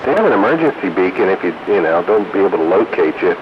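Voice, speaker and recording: A man's voice: deep voice, sing-song, fast talker. A man chatting casually over a two-way radio. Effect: two-way radio transmission. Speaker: amateur radio operator chatting on a radio round table. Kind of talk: casual chat. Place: radio transmission picked up by a receiver. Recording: muffled radio reception with clear static noise.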